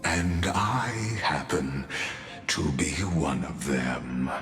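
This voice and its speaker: Very deep, very slow enigmatic schemer. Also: male voice, animated, a bit bright, full character voice. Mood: knowing, persuasive. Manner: formal, measured, grandiloquent, deliberate pauses. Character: enigmatic, calculating male cosmic wanderer offering sinister bargains. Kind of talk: scripted video game character dialogue. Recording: echoey, with music underneath. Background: background music.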